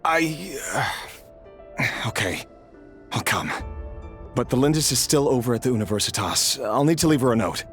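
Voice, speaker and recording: A man's voice: medium pitch, big pitch swings, moderate pace, pauses often, a bit bright. A man voicing a brooding, troubled young man in a video game, in introspective monologue. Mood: startled, hesitant. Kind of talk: dramatic video game voice acting. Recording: music playing behind the voice. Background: game music.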